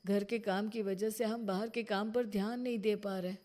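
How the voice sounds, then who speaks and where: A woman plays a young husband in a cartoon moral story. He is meek and earnest, pleading to make peace in a mid-range, feminine-pitched voice. Some noise runs behind it. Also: fairly even intonation, moderate pace, natural voice.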